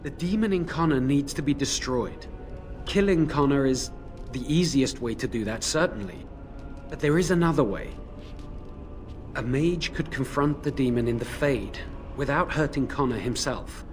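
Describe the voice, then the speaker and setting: A man's voice: medium pitch, normal speed, faint music behind, lightly acted. A man voicing a remorseful young mage. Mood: earnest. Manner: apologetic, earnest, hesitant. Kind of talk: video game dialogue.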